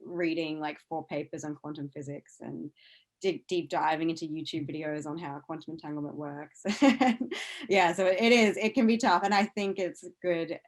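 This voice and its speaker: A low-voiced woman with an Australian accent talks casually as an interview guest. She is chatty and informal, breaking into her own sentences and starting again as she explains things. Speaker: interview guest, science news CEO. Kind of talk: casual conversational interview answers.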